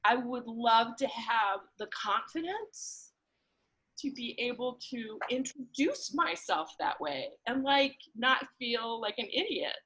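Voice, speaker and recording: A woman's voice: fairly high pitch, big pitch swings, takes it slowly, fairly bright tone, low-quality recording. A woman chatting casually about personal things, informal and emphatic, peppering her talk with filler words like "like" and "you know".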